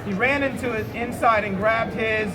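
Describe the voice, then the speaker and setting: A man's voice: high voice, normal speed. A man telling an improvised comic story to a live audience, amused and often breaking off into asides. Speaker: storyteller hosting an audience word game. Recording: loud crowd noise, roomy live event. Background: loud laughing crowd.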